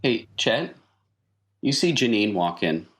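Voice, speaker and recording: A man narrating a tabletop game as game master, casually improvising and often pausing to think aloud. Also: fairly low pitch, very animated, a bit bright.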